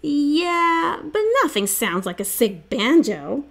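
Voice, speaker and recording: A teasing storybook kid , performed by a woman reader. The lines come in a raised pitch, emphatic and slowly drawn out. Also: sing-song, creaky, a bit bright, full character voice. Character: teasing young friends of a storybook boy. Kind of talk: children's storybook character reading.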